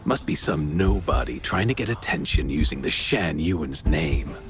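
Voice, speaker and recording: Extremely deep, dismissive townsman. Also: lively intonation, normal speed, music in the background. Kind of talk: video game bystander chatter.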